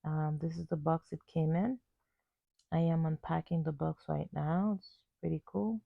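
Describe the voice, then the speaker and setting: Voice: medium pitch, steady tone, normal speed, creaky, no room sound. An amateur reviewer of unclear sex giving a casual unboxing voice-over in short, plain, slightly hesitant sentences. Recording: muffled phone-like narrow sound.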